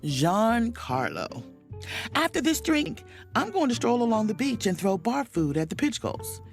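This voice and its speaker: A woman's voice: fairly high pitch, very animated, unhurried, gravelly, faint music behind, exaggerated voice. A woman playing a wry, awkward, overworked starship chief mate on vacation in a romantic comedy audio drama, with muttered, self-interrupting asides. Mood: flustered, flirty.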